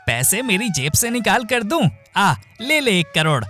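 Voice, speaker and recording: A man's voice: high voice, very animated, a little slow, sharp tone, heavily acted. A man voicing a greedy, bossy thief leader who scolds and schemes. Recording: background music.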